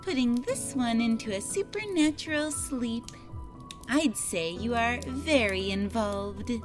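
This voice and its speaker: A woman's voice: a little high, expressive, a little slow, music in the background. A woman playing a confident, teasing catgirl mage in a visual novel. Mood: smug. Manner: teasing, self-assured.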